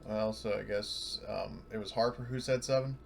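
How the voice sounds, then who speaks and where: A man's voice: lowish voice, fairly even intonation, moderate pace, vocal fry, slight background noise. A man playing a tabletop roleplaying game, talking casually through his turn.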